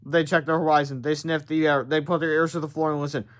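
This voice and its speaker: A man's voice: fairly high pitch, little pitch movement, quick pace. A man narrating a children's storybook aloud in a theatrical, informal way.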